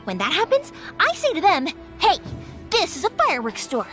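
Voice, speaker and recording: A cheerful young fireworks maker from a video game. Her voice is very high and lively, perky and eager to help, chatting expressively over clear background music. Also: normal speed, exaggerated voice. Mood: bubbly, chatty. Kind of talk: scripted video game voice acting. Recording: music playing behind the voice.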